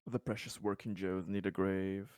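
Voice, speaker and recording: A man's voice: low-pitched, fairly even intonation, moderate pace, darkish tone, clean tone, dry recording, clean studio sound. A man taking part in a tabletop game as a player, with casual banter.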